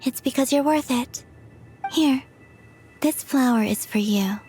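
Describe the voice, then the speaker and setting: Soft, gentle moon goddess. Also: female voice, sits high, measured pace, slight background noise. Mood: tender, earnest. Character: gentle, serene young moon goddess, tender and hopeful. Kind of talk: video game cutscene acting.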